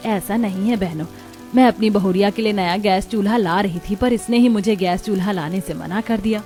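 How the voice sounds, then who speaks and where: A woman's voice: mid-range voice, fairly quick, dark tone, faint music behind, lightly acted. A woman voicing a kindly, proud village mother-in-law with homely rustic speech in a cartoon story. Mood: proud, defensive.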